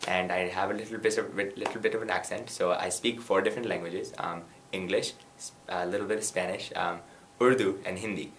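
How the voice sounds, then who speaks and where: A young man in his twenties from Pakistan, a student candidate giving an earnest campaign pitch and then answering light interview questions. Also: steady tone, a bit bright, a little hiss or hum. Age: 20s. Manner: earnest, rapid and persuasive.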